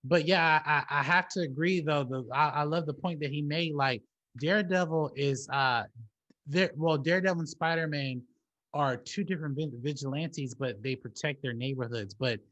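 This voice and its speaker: A man with a slightly high voice hosts a casual film discussion. He speaks in loose, slangy, emphatic phrases with some swearing, often stuttering over a word and repeating it before he gets to his point. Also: normal speed, a bit bright, highs cut off. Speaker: talk show host. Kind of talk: casual movie commentary talk.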